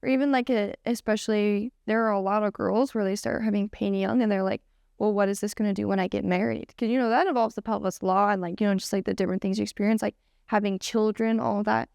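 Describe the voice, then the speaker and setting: A woman's voice: mid-range voice, fairly even intonation, fast talker, vocal fry, a little mellow, no room sound, clean studio sound. A young woman of twenty-two talking casually as a patient guest, with many fillers. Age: 20s. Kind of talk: casual podcast conversation.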